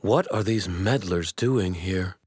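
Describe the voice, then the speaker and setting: Low, slow brooding anime villain. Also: male voice, darkish tone, slightly rough, dry recording, clean studio sound, heavily acted. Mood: wary. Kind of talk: anime dub voice acting. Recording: background noise and effects under voice. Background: ambient sound effects.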